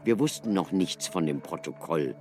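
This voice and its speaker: An actor playing an earnest, cautious male researcher in a German radio play, explaining things in a measured, emphatic way. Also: low-pitched, expressive, a little mellow, soft background music. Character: deep-voiced scholarly male ruin researcher, earnest and cautious.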